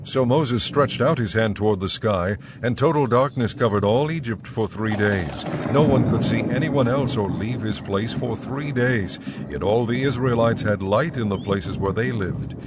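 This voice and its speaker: A man's voice: medium pitch, normal speed, heavy background noise. A man narrating scripture as the scripted narrator of a dramatized audio Bible.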